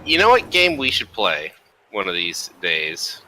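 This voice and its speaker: A man's voice: fairly low pitch, huge pitch swings, measured pace, bright, forceful tone, slight background noise, rough recording. A man who belongs to a gaming group, chatting casually with friends about games.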